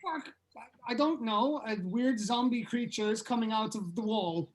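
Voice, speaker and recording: A woman's voice: fairly high pitch, moderate pace, natural voice. A woman reading the part of a harried, sweary young band member fleeing monsters in a horror comedy table read. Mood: urgent.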